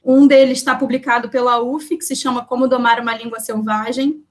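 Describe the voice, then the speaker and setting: A woman's voice: fairly high pitch, little pitch movement, fairly quick. A woman poet and researcher from Brazil introducing herself at an online poetry event, chatting from home over a video call with lots of fillers. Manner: chatty, warm thanks, many fillers.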